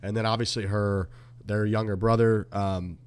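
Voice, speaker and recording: A man's voice: lowish voice, flat delivery, moderate pace, darkish tone, clean tone. A man co-hosting a golf podcast, chatting loosely and swearing freely. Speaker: podcast co-host. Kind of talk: casual chat.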